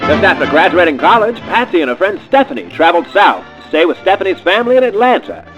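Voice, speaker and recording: Man as radio play narrator, scripted, dry, dramatic, animated intonation, faint music behind. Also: high-pitched, fast talker, rough recording. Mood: mock solemn. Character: dry, dramatic storybook narrator of a mock biography.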